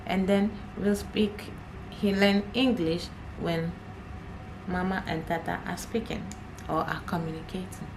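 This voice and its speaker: A woman's voice: on the low side, background noise. A young Nigerian woman, an Igbo speaker, chatting casually to camera as a vlogger, laughing often and repeating herself.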